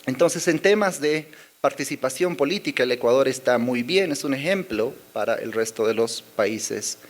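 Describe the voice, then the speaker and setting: A man with a Bolivian accent presenting research charts at a conference, with many pauses, fillers and little tag questions. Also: mid-range voice, animated. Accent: Bolivian Spanish. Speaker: conference speaker. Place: conference hall.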